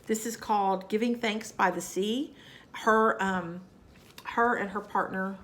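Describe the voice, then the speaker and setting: A woman's voice: medium pitch, fairly even intonation, a little slow, a bit bright. A woman with a Southern US accent chatting casually about her cross-stitch projects, her voice nasal and scratchy from a cold, rambling with fillers and asides. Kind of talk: casual chat to camera.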